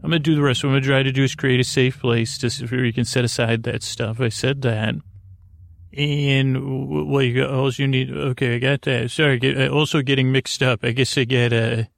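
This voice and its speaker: A man with a creaky voice hosting a bedtime podcast, gently meandering and halting as he keeps interrupting himself. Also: medium pitch, little pitch movement, dry recording, clean studio sound. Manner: gentle, lulling. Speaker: podcast host. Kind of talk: rambling bedtime monologue.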